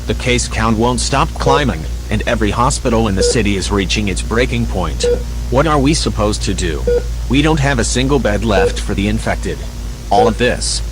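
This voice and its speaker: A man's voice: normal speed, close to an everyday voice. A man playing a stressed senior doctor in an amateur horror audio drama. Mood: overwhelmed, frustrated. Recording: noisy recording with humming rumble.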